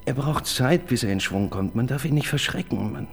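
An eager, fussy police assistant. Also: male voice, mid-range voice, lively intonation, moderate pace, creaky, slightly rough. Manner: eager, fussy, hesitant restarts. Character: eager, pedantic young male police assistant. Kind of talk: radio crime drama acting.

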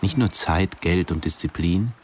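A man's voice: sits low, unhurried, a little hiss or hum. An actor playing a reflective, infatuated yet restrained man narrating his story in a radio drama. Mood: admiring, restrained. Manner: introspective.

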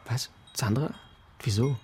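A man's voice: mid-range voice, a little slow, a little mellow, breathy edge. An actor playing a nervous young male music student who answers hesitantly and stammers in a radio crime drama. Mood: uneasy. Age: young adult.

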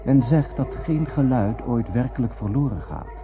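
A man's voice: mid-range voice, normal speed, lightly acted. An actor playing a spaceman who tells of his voyage in a poetic, storytelling way in a radio play. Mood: wondering narration. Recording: dull, noisy radio play recording.

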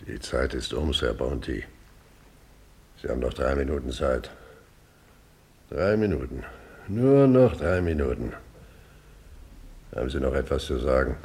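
An actor playing a sinister, over-polite killer who talks in a businesslike way in a 1950s German radio play. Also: male voice, extremely low pitch, sing-song, unhurried, creaky, pauses often, a little mellow, full character voice. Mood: cold menace. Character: sinister, courteous cloaked killer in a slouch hat.

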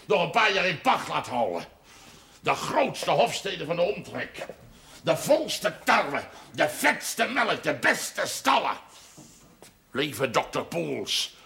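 A middle-aged landowning farmer in a period TV drama, proud and bitterly sarcastic. His voice is gravelly and forceful, with big animated swings in pitch, over faint noise from an older television soundtrack. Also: heavily acted.

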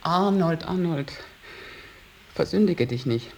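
A woman's voice: deep voice, lively intonation, slow, a little mellow, a little hiss or hum, exaggerated voice, low-quality recording. An actress playing an anxious, reproachful middle-aged mother in a German radio drama, pleading and scolding. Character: anxious, reproachful mother of a wayward adult son.

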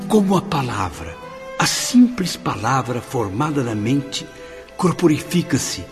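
A man's voice: medium pitch, very animated, measured pace. An actor playing a humble, awestruck spirit who narrates his memoirs in a radio drama, earnest and reflective. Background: music.

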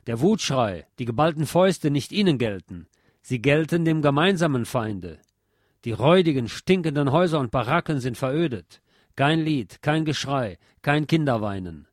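A man reads literary prose aloud in an expressive, emphatic way, at a middle pitch. The recording is dry and close, with no room around the voice. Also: dry recording. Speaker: reader of a literary text. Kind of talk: dramatic literary reading.

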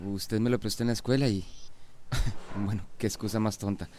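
An actor playing a nervous, smitten male suitor in a radio drama, halting and awkward. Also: fairly low pitch, steady tone, a little mellow, a little hiss or hum, natural voice. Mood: awkward, apologetic. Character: nervous, bashful, smitten suitor, quick to jealousy.

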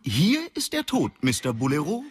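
Teasing, formal guide from another reality, raspy voice with swooping melody, sci-fi radio play. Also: female voice, deep voice, wildly swooping pitch, moderate pace, heavily acted. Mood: teasing, matter-of-fact. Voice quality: husky. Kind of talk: German science fiction radio drama acting.

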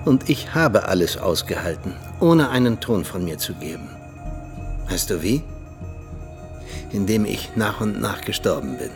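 An actor playing an embittered, world-weary older Soviet ex-agent and mentor in a radio drama, speaking emphatically. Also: male voice, fairly low pitch, vocal fry. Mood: weary, defiant. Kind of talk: radio drama acting, monologue-like confession.

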